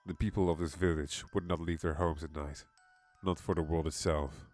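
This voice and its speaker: A man's voice: rumbling low, fairly even intonation, normal speed, a little mellow. A man giving a dramatic scripted narration of a horror story. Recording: music behind the voice.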